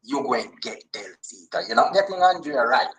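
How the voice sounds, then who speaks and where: Male, Jamaican accent, mid-pitched voice, emphatic interview guest who repeats himself in easy conversation. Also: expressive, brisk, a crowd in the background. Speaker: guest, actor and comedian. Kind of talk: casual interview chat.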